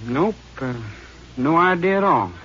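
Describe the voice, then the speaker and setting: A man's voice: on the high side, lively intonation, measured pace, bright, forceful tone. An actor playing a nervous young man who hesitates and stammers, in a 1950s radio drama. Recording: dull lo-fi old radio broadcast with faint hiss.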